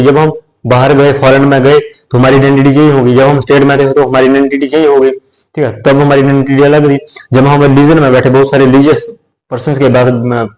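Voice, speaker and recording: A man's voice: mid-range voice, a little mellow, low-quality recording. A man teaching a social studies lesson in a casual, explanatory way, often repeating himself and checking in with his students. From India. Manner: conversational, repeats phrases, checks in with listeners. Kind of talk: explanatory lecture.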